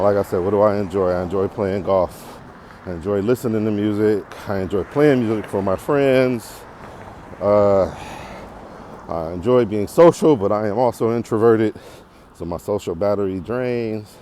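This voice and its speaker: Very deep-voiced American man, rambling casually. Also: mellow, slight background noise. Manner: rambling, digressive, thinking aloud. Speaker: podcast host. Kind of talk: casual unscripted monologue while walking. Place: outdoors, walking on a path.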